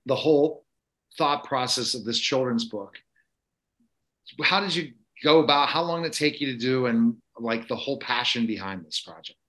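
A man's voice: medium pitch, lively intonation, moderate pace, a bit bright, poor audio. A male podcast host asking questions in a hesitant way with many fillers. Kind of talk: interview questions.

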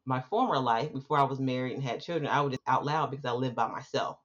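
A woman's voice: extremely low pitch, fast. A woman hosting a podcast, chatting with a friendly, upbeat manner as she welcomes and interviews a guest. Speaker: podcast host. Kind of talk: casual interview chat. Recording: dull, lo-fi podcast audio.

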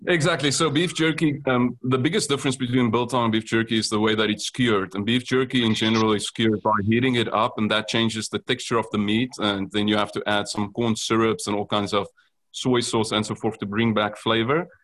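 A man with a South African accent speaks in a lowish voice. He earnestly explains how his company makes its product, pausing on frequent ums as he goes. It sounds like a guest on a business podcast. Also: steady tone, fairly bright tone. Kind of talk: podcast interview.

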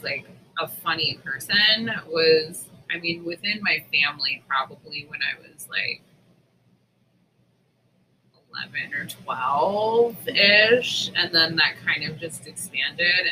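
A man with a very bright, forward voice chats casually as a podcast co-host. His pitch sits a little high, and he is self-deprecating and jokey. Faint noise runs behind him. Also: low-quality recording. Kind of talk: casual chat.

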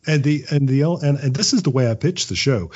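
A man's voice: medium pitch, animated, brisk, darkish tone, husky, highs cut off. A man speaking as a writer and voice actor being interviewed, thoughtful and conversational, acting out imagined lines as he explains. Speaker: interview guest, audio drama writer and voice actor.

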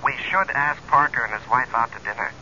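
A man playing a breezy, self-serving husband in an old-time radio drama, with a brisk, bossy, casual manner. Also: medium pitch, sharp tone, muffled recording. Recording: band-limited old radio drama, faint noise.

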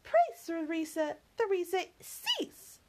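A woman voicing a grieving young gentlewoman who speaks softly and tenderly, holding herself in check. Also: very high-pitched, sing-song, slow, a little hiss or hum, pitched above the performer's own voice, exaggerated voice. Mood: weary. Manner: soft, tender, restrained.

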